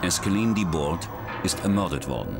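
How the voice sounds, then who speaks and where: A man narrating a true-crime documentary in a measured, dramatic storytelling manner. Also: deep voice, sing-song. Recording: studio voice-over with music bed. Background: background music.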